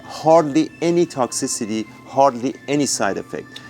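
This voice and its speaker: A man, a company executive, describing his product in a measured, factual way. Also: mid-range voice, expressive, darkish tone, soft background music. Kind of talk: business pitch.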